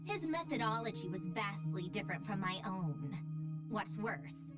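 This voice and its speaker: A woman's voice: mid-range voice, sing-song, moderate pace, over loud music, lightly acted. An actress playing a brainy, proud, blunt young woman researcher in a video game, with an emphatic, haughty delivery. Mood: proud, frustrated.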